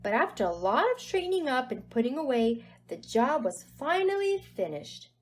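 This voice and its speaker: A woman's voice: sits high, big pitch swings, normal speed. A woman narrating a children's storybook aloud expressively, with dramatic emphasis on key words. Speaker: storybook reader.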